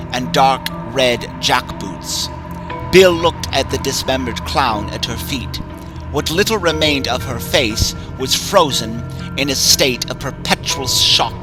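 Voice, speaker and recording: Man, horror story narrator, slow, ominous, slightly high voice, background music. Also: rough recording. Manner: slow, measured, ominous delivery. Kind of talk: dramatic horror story reading. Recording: music bed under voice.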